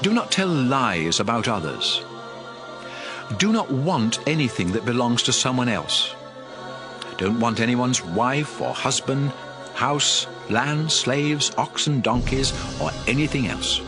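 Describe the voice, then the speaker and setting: Solemn prophet, commanding, emphatic, very animated intonation, audio drama, music underneath. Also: male voice, medium pitch, takes it slowly. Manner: declamatory, emphatic oratory. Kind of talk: dramatized audio Bible acting.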